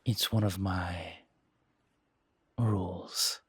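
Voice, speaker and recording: A man voicing a smooth, seductive gentleman stranger in a romantic audio drama, with a breathy, drawling, flirtatious and formal way of talking. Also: deep voice, steady tone, extremely slow, no echo, studio quality, voice pushed down, full character voice. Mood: courtly, inviting. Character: smooth, seductive, well-dressed male stranger, courtly and teasing.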